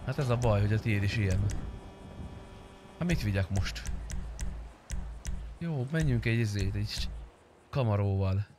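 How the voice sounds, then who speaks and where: A man's voice: on the low side, lively intonation, pauses often, over music. A young man streaming a game, chatting casually and crudely with friends and swearing. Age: young adult. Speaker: streamer, gamer. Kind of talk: casual gaming chat with friends. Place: indoor room, gaming stream setup. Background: car game sounds.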